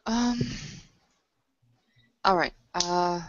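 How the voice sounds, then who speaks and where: A woman's voice: lowish voice, extremely slow. Hesitant woman roleplayer on a muffled recording. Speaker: tabletop roleplaying participant. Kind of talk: improvised in-character roleplay conversation.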